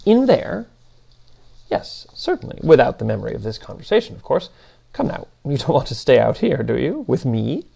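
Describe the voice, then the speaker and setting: A man's voice: mid-range voice, big pitch swings, moderate pace, creaky, mellow, slightly rough, full character voice. A man voicing an exasperated, haughty sock-puppet machine intelligence in an audiobook, fussy and incredulous as it questions and grumbles. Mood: dismissive.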